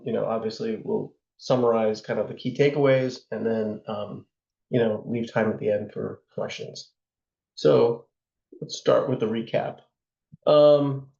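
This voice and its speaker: A man teaching a class on investing, hoarse from a sore throat, talking in a loose conversational way with plenty of fillers and asides. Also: medium pitch, dark tone, echoey room, lo-fi sound. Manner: loose and conversational, many fillers and tag questions. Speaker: instructor. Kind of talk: lecture on investing.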